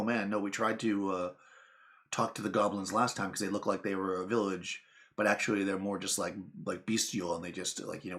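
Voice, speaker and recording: A man's voice: sits low, gravelly, fairly bright tone. A man hosting his channel, talking casually to camera in a rambling, chatty way with lots of fillers and asides. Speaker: channel host.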